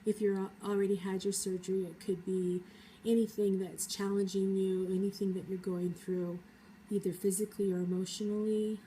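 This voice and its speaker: A woman with a cold, talking through her feelings in a thoughtful, hesitant personal vlog monologue with many pauses. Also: mid-range voice, little pitch movement, mellow, slight background noise, rough recording. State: has a cold. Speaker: vlogger.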